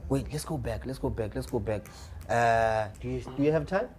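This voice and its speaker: A man's voice: medium pitch, moderate pace, darkish tone, a little hiss or hum. A young man with a South African accent hosting a casual podcast, playful and teasing, switching between languages as he asks questions. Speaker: podcast host. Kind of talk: casual interview chat.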